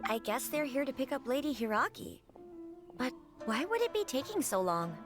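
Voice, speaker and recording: High-voiced, nervous townswoman. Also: sing-song, moderate pace, music in the background. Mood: curious, cautious. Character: curious, nervous townswoman, cautious and gossipy. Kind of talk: anime video game voice acting.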